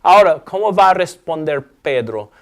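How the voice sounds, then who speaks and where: A man with an Alabama accent leads a Bible study in Spanish as a native English speaker. His voice sits high and he keeps things animated, firing questions at his partner. Now and then he drops in playful sound effects. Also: moderate pace. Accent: American English (Alabama). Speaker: Bible teacher.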